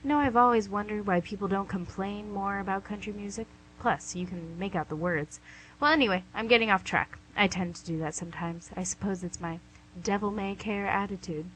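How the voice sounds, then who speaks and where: Woman playing a bright, teasing lady Devil. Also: mid-range voice, brisk, close to an everyday voice. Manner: teasing, chatty, theatrical. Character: female Devil, playful, charming, smugly tempting businesslike boss. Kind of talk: comic radio play acting. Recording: noisy amateur recording with hum.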